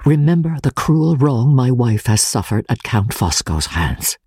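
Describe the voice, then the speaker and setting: A man voicing a firm, earnest gentleman pleading in a dramatic audiobook reading. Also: medium pitch, sing-song, a little slow, husky, no echo, clean studio sound, full character voice. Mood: earnest appeal.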